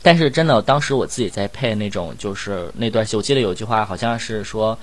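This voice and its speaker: A man's voice: lowish voice, fast. A man co-hosting a casual panel chat as a voice actor. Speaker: host and voice actor in an audio drama cast discussion.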